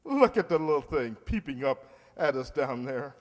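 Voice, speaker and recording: A man's voice: mid-range voice, big pitch swings, normal speed, lightly acted. A man playing a pompous, sentimental middle-aged uncle in love, with a theatrical, hesitant, blustering delivery in old-time radio comedy style. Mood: cheerful, tender. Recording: echoey hall with long reverb.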